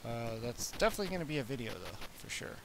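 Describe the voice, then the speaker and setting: A man's voice: mid-range voice, big pitch swings, fast talker, creaky. Chatty Canadian man, hissy recording. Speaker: retro gaming channel host. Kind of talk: casual live-stream chat.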